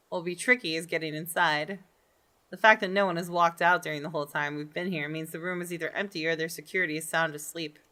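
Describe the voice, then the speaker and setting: A woman's voice: on the low side, pushed and bright. A woman hosting a gaming video, reading visual novel text aloud and reacting expressively.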